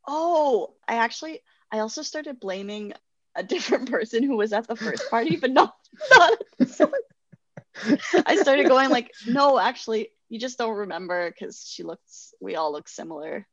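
A woman in her twenties telling a personal story in casual chat, animated and self-deprecating, laughing often. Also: fairly high pitch, normal speed, dry recording, lo-fi sound. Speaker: guest sharing personal stories.

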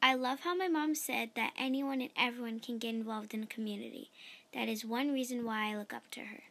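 A woman's voice: a little high, a little fast, creaky, a bit bright. A young woman asking her family members questions in a friendly, upbeat way. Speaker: student interviewer. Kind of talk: family interview.

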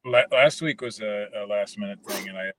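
A man's voice: fairly low pitch, fairly quick. A man with a Southern accent co-hosting a podcast from a parked car, wry and joking, with easy swearing. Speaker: podcast co-host. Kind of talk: casual podcast chat.

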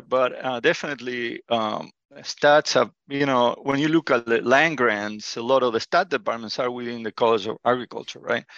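A man's voice: mid-range voice, a bit bright, dry recording, dull audio. A man, a college dean answering interview questions with many fillers and restarts. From Argentina.

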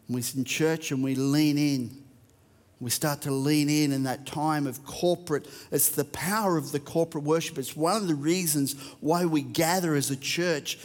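A man's voice: a little high, fairly even intonation, moderate pace, in a large hall. An Australian man preaching a sermon, reading verses and talking conversationally to a church. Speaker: pastor.

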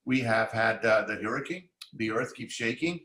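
A man from Puerto Rico, a teacher, talking through his views in a relaxed podcast chat with lots of restarts. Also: mid-range voice, normal speed, echoey room. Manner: animated, thoughtful, many restarts. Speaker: guest, arts and Spanish teacher. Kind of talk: casual podcast conversation.

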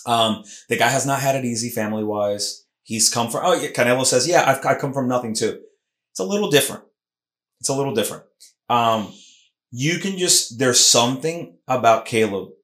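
A man's voice: medium pitch, brisk, fairly bright tone. A man hosting a casual sports podcast, talking emphatically with lots of restarts. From Puerto Rican heritage. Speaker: podcast host. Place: Philadelphia podcast studio room. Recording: roomy echo.

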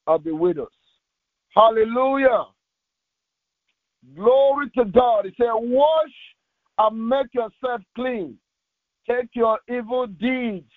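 A male pastor reading scripture and preaching in short, deliberate, emphatic phrases, heard through tinny, narrow audio. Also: very high-pitched, no room sound.

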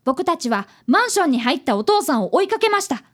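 A woman acts out a fifth-grade boy training as a detective in a radio drama. The voice is very high and swings animatedly in pitch. He speaks politely and earnestly, both in dialogue and narration. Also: big pitch swings, quick pace. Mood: earnest narrating.

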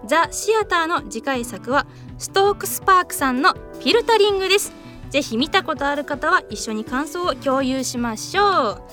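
A woman's voice: sits high, expressive, moderate pace, bright, forceful tone, faint music behind. A woman co-hosting a casual radio show from a studio, speaking politely with bantering, giggly ease. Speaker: radio host and theatre troupe member. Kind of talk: casual co-hosted radio chat.

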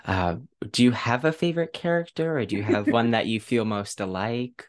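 Low-voiced woman hosting, enthusiastic. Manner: enthusiastic, halting with ums. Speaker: podcast host. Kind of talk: casual interview hosting.

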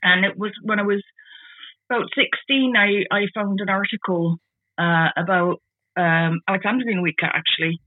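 A woman in her forties from the Netherlands, with a Dutch accent, talking casually as an interview guest, halting and often repeating words as she restarts. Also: medium pitch, steady tone, no echo. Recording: tinny phone-like call audio.

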